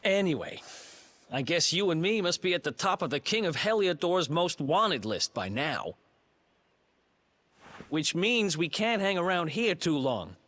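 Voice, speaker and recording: A man's voice: on the high side, sing-song, measured pace, fairly bright tone, full character voice. An actor playing a young male thief in a video game, breezy and wry in manner, with game music behind him. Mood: laid-back. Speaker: companion character in a video game.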